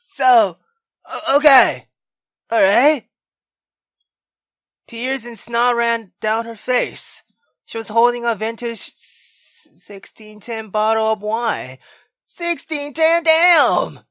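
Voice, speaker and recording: A woman let's player with a bright, very animated voice. She moves between unhurried story narration and casual, sweary reactions, recorded close and dry. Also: on the high side, no echo. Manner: expressive, foul-mouthed. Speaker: visual novel let's player. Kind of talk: casual reaction commentary and read-aloud narration.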